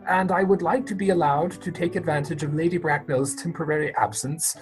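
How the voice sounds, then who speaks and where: A man playing an awkward, nervous young gentleman suitor in a stage comedy reading, hesitant and stiffly polite. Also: a little high, steady tone, brisk, faint music behind, lightly acted.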